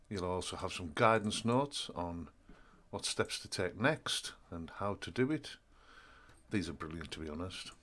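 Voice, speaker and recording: A middle-aged man, chatty and matter-of-fact, walking through paperwork in a casual home vlog, a little throaty from a chest infection. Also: low-pitched. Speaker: van life vlogger. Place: home kitchen indoors.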